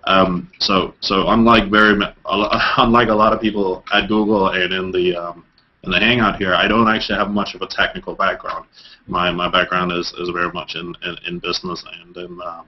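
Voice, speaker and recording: A man from Denmark in his thirties, answering interview questions over a video call. His voice sits very low, and he stumbles through restarts and fillers. The audio is dull and lo-fi. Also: little pitch movement, a little fast. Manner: hesitant, many fillers and restarts. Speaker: interview guest.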